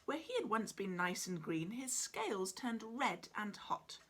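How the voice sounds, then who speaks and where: Slow, lively woman reading a storybook. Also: mid-range voice, breathy edge. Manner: dramatic, deliberate. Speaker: author reading own picture book. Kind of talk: expressive children's storybook narration. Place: small cluttered room at home, indoors.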